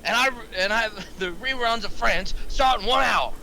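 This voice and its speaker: An irritable, crude crime boss, played by a man at a table read. The voice is high and piercingly forceful, full of bluster and slangy cursing. Some background noise sits behind it. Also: normal speed, vocal fry, exaggerated voice, poor audio. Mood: irritated, blustering. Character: foul-mouthed, irritable male crime boss.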